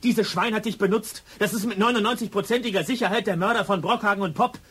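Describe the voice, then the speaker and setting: A man's voice: high-pitched, quick pace, fairly bright tone, breathy edge. An actor playing a worried male police colleague in a radio drama, speaking in a clipped, insistent way. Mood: angry, insistent.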